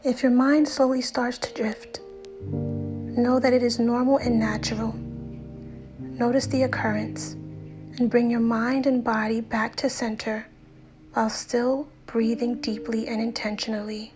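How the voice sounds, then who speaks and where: A woman narrating a guided meditation in a soothing, unhurried way with deliberate pauses and soft, breathy phrase endings. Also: a little high, level tone. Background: music.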